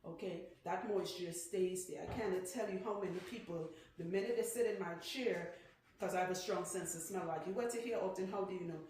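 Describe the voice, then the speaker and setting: A woman's voice: lowish voice, fairly quick, a little mellow. Trinidadian woman talking in echoey salon. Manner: chatty, teasing, lots of "right?" and "okay?". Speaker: loctician. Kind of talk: salon demonstration talk. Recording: very echoey room, faint noise.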